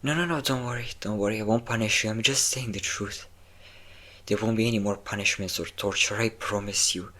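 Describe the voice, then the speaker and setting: A man's voice: fairly low pitch, fairly even intonation, brisk, bright, forceful tone. A man playing a possessive, obsessive vampire lover in an intimate, dramatic roleplay audio drama. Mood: relieved, tender.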